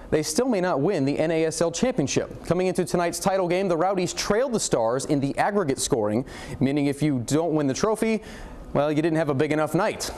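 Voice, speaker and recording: A man anchoring TV sports highlights in a punchy, conversational style full of rhetorical questions. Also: darkish tone, a little hiss or hum.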